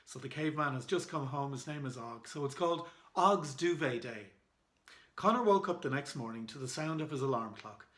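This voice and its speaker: A man's voice: medium pitch, lively intonation, fairly quick, a bit bright. An Irish man reading aloud in a children's storytime style, as an author and illustrator. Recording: echoey room.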